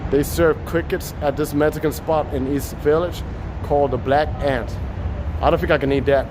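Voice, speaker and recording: A man with a Puerto Rican accent chats his way along city streets, greeting viewers as he walks. His voice sits a little high and is picked up on a phone. Loud traffic fills the background. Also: fairly even intonation, moderate pace. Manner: chatty, reads out viewer names. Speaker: live-stream host. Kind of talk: casual live-stream walking tour.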